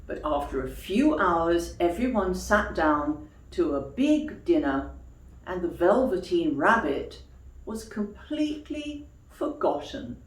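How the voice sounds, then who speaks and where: A woman storyteller reading expressively to young children like a teacher, pausing for questions and explanations. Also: on the low side, big pitch swings. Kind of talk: children's storytime read-aloud with explanatory asides. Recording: echoey room.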